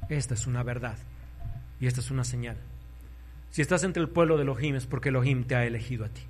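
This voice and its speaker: A man preaching a sermon, fervent, swinging between soft and loud, urging listeners to repeat after him. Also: mid-range voice, fast, soft background music.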